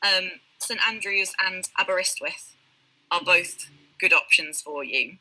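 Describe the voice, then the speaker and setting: A woman's voice: on the low side, unhurried, bright, forceful tone, rough recording. A British woman tutor explaining things in an online live session, with frequent ums and light laughs. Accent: British English. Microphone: video call.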